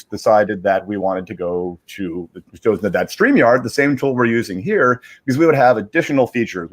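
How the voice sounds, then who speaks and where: Rambling, halting man explaining things casually. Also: lowish voice. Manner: halting, rambling, repeats words while searching for phrasing. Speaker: digital media strategist, guest reader. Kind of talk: casual explanatory livestream chat.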